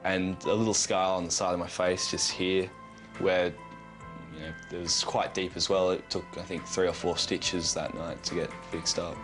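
A man's voice: deep voice, flat delivery, moderate pace. A young man, speaking hesitantly in a news interview, with many fillers and restarts. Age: late teens. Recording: news interview with music underneath.

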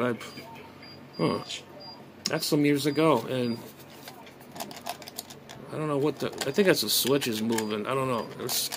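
Man muttering casually over background noise. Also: medium pitch. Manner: hesitant, muttering, thinking aloud. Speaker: amateur radio hobbyist vlogger. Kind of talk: casual unscripted gadget unboxing commentary. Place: small bedroom, indoors. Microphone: phone at arm's length. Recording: noisy phone recording with room sound.

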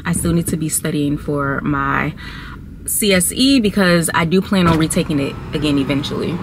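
A young woman, chatting and confiding to her phone camera from a parked car. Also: sits low. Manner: chatty, confiding, drawn-out pauses. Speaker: vlogger. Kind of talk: casual chat to camera. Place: parked car, indoors of vehicle. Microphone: phone camera on dashboard. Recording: car with road noise behind.

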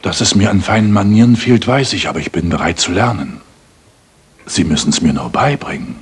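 An actor playing a coarse, pushy rich suitor in a radio play, insistent and leering, with a rough husky voice. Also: male voice, low-pitched, lively intonation, brisk, heavily acted. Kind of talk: dramatic German radio play acting.

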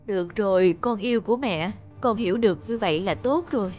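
A woman playing a stern but loving peasant mother in a children's cartoon, dramatic and expressive. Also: mid-range voice, fairly quick, lo-fi sound, faint music behind, close to an everyday voice. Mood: tender, regretful.

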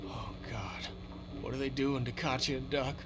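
A man's voice: mid-range voice, moderate pace, noisy background, natural voice. An actor playing a hot-headed, desperate family man in a zombie video game, blunt, profane and insistent. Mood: low, grim. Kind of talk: dramatic video game voice acting.

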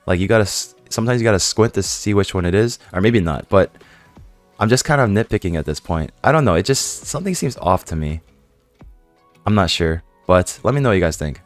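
Brief: young male gaming vlogger, very low voice, a bit hoarse, dry close sound, rambly casual chat. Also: animated, a little fast, no room sound, faint music behind. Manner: chatty, rambling, many fillers and self-questions. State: hoarse from a cold. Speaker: gaming YouTuber. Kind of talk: casual game commentary to viewers.

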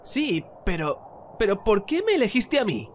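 A man's voice: high-pitched, sing-song, a little slow. A man voicing a young, sarcastic and bewildered martial artist in a cartoon fandub. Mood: bewildered, hesitant. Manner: sarcastic, incredulous. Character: miserable, sardonic young male martial artist, bewildered loser. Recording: music behind the voice.

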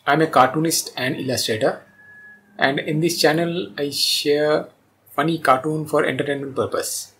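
A man in his forties hosting a video as a cartoonist, speaking to camera in simple, short, measured phrases. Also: fairly high pitch, steady tone, a bit bright. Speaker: host, cartoonist. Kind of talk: scripted-sounding video introduction to camera. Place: small plain room indoors.

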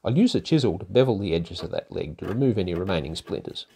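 An older man narrating a woodworking project in a calm, methodical, step-by-step way, as host of his own workshop channel. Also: low-pitched, fast talker, gravelly, slight background noise. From Australia. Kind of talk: instructional voice-over narration. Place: home garage workshop.

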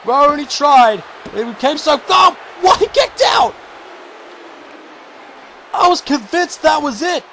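High-pitched excited wrestling commentator, hoarse. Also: male voice, takes it slowly, long pauses, a little hiss or hum. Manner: shouting, high-pitched and strained, losing his voice. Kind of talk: excited play-by-play commentary of a video game wrestling match.

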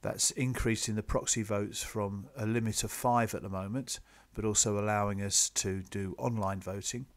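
A man presenting club business to members in a measured, businesslike, explanatory way, partly from a script. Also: on the low side, fairly even intonation. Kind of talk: slide presentation, semi-scripted explanatory talk.

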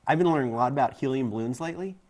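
A man's voice: medium pitch, racing, a little mellow. A man in his forties co-hosting a science show, explaining things in casual banter. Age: 40s. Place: studio set. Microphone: clip-on lapel mic.

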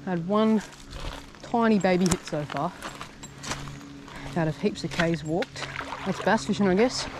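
A man talking to himself in quick bursts and low asides while fishing a bush creek, recorded on a head-mounted camera outdoors. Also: music in the background. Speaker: fishing vlogger. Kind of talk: casual self-talk while fishing. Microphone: head-mounted action camera.

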